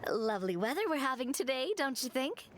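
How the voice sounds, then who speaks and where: A woman voicing a cheerful, optimistic young noblewoman in a game, with a bright, upbeat and theatrical delivery. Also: sits high, expressive, fairly quick, slight background noise. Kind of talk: game voice acting. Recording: game audio with music behind.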